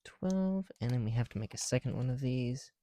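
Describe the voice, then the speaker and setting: A tired man speaking softly and casually in an ASMR style, musing to himself as he works. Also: medium pitch, expressive, normal speed. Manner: hushed, musing to self while counting pieces. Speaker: ASMR video creator. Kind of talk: soft-spoken ASMR, casual chat while building.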